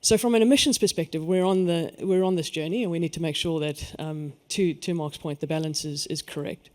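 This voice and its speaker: A man's voice: on the high side, fairly even intonation, fairly quick, darkish tone. A man with a South African accent speaking on a conference panel, stressing key words and then letting his voice fall away. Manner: emphatic, lifts his voice on key points then trails off. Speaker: energy industry panelist.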